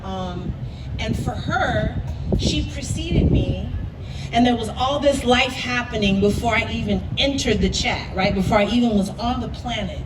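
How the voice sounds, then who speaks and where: Woman poet chatting at a live event, highish voice, thoughtful n emphatic, far from mic in a noisy room. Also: a little slow, echoey room. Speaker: guest poet. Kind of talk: live on-stage interview, conversational. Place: live event space with audience.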